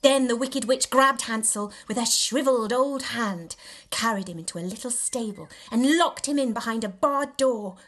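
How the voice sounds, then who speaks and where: A woman reading a novel extract aloud in an expressive, theatrical and measured storytelling style. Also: on the high side. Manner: theatrical, measured storytelling with dramatic emphasis and hushed dips. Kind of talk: expressive scripted audiobook narration.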